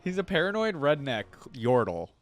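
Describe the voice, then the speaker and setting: A man's voice: fairly high pitch, big pitch swings, normal speed, faint music behind. A man giving casual, amused commentary as the host of a reaction video. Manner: amused, repeats lines he likes.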